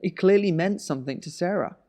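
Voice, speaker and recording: A man's voice: high voice, brisk, darkish tone, close to an everyday voice. A man playing an anxious, stubborn younger brother and worried husband in a naturalistic audio drama, hesitant and earnest.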